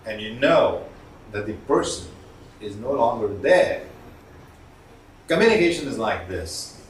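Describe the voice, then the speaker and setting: A man's voice: fairly low pitch, lively intonation, measured pace. Man training, echoey distant recording. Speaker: communication skills trainer. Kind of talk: instructional talk on voice modulation. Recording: echoey room, distant mic, faint noise.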